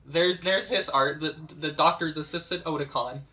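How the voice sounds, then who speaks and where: Higher-pitched man with a very bright voice. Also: steady tone, normal speed. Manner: rambling, repeats words as he searches for them. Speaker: host and writer of an amateur script table read. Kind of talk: casual group chat.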